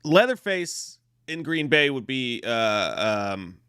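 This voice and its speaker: A slightly hoarse man co-hosting a livestream, reading out viewer comments and riffing playfully in casual, joking chat. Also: medium pitch, sing-song, slow, pushed and bright, dry recording, studio quality. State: hoarse after drinking.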